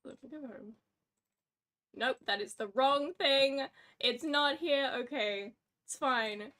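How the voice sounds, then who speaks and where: A woman's voice: sits high, slow, pushed and bright. A woman co-hosting a casual livestream, scattered and self-mocking as she talks herself through her own fumbles. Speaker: livestream co-host.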